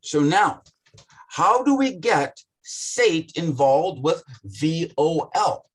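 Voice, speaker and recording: A man with a rough voice talking passionately and bluntly in a casual business discussion, swearing often. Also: a little high, very animated, takes it slowly.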